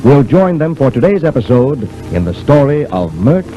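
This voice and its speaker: A man's voice: medium pitch, big pitch swings, moderate pace, rough recording. A man announcing and narrating an old-time radio serial in scripted storytelling style. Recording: hissy old radio broadcast recording. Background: music.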